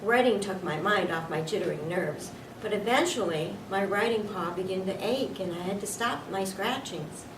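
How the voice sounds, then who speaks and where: A woman narrates a children's book, reading from the text. She sounds slightly distant in an echoey room, with clear noise on the recording. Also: medium pitch, moderate pace, few pauses. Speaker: storybook reader.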